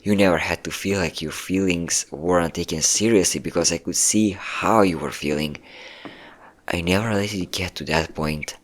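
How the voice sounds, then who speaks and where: A low-voiced, obsessive ex-boyfriend, acted out by a man in an audio roleplay. He is manipulative and possessive, coaxing his lover with pet names while an undercurrent of menace runs through his words. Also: moderate pace, close to an everyday voice. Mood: pleading, menacing. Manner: coaxing, menacing, calling listener pet names, nervous chuckles. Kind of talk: scripted ASMR-style audio roleplay.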